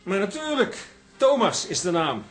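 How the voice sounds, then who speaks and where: An actor playing a slick, ingratiating film production man in a radio comedy, polite and quick to backpedal. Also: high-pitched, very animated, fairly bright tone, soft background music. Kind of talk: radio comedy drama acting.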